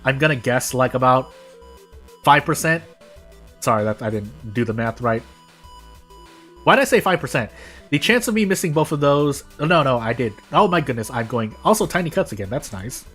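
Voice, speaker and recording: A man's voice: mid-range voice, animated, normal speed, fairly bright tone. An American man giving casual live commentary as a game streamer, rambling and often correcting himself as he goes. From United States. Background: music.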